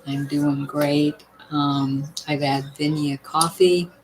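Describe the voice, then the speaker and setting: A woman's voice: low voice, fairly even intonation, slow pace, a little hiss or hum, rough recording. An older woman with a partly worn, weakened voice, chatting to her viewers at home in an emphatic way, often asking "you know what?". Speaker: vlogger sharing health tips. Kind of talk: casual talk to viewers.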